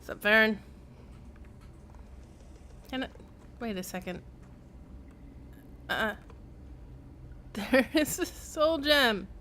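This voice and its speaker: Woman streamer, kinda high voice, just chatting casually while playing. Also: expressive, drawn-out words, long pauses, pushed and bright, a little hiss or hum. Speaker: game streamer. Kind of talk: casual livestream chat during gameplay.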